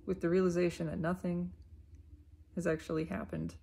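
A woman giving a reflective self-help monologue, unhurried and emphatic, often repeating her phrases. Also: fairly low pitch, level tone, vocal fry, mellow, slightly rough.

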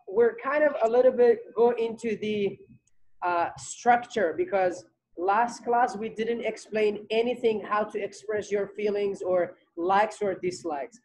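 A man's voice: sits high, a little mellow, poor audio. A young man leads an online English lesson from his home through a laptop mic. He talks loosely, repeating words and filling gaps as he goes. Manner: repeats himself, many fillers. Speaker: English teacher hosting online class. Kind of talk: casual online class talk. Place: home room on video call.

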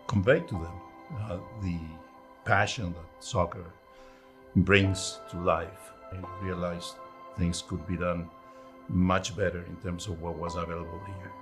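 A man from Argentina speaking earnestly in a promotional interview about his love of the game. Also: deep voice. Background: soft music.

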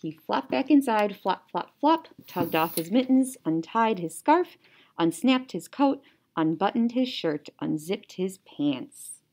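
Young lady teacher doing storytime, super animated, slow pace, soft mellow tone. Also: mid-range voice, slight background noise. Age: young adult. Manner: animated, with playful sound words. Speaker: teacher reading aloud. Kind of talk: children's story read-aloud. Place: small indoor classroom or office.

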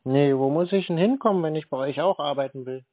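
A man's voice: a little high, fast talker. A man on a phone call with a scam caller, speaking in a dry, curt, skeptical way. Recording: tinny phone line.

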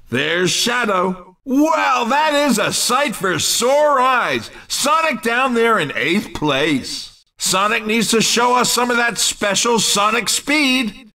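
A man voicing an excitable, cheeky racing video game commentator, dramatic, teasing and emphatic in scripted sports style. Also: very high-pitched, recorded from a distance, exaggerated voice.

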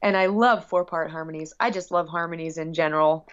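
A woman's voice: deep voice, fairly even intonation, fairly quick, clear, resonant tone, lo-fi sound. A woman guest chatting casually in an interview about her work as a musician, rambling expressively with frequent asides.

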